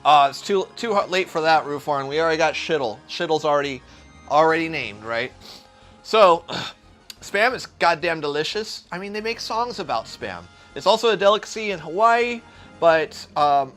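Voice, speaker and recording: A man's voice: a little high, fairly bright tone. A man who is a chef, cooking on a live stream and chatting with viewers in a crude, joking, profane way. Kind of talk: casual livestream cooking chat.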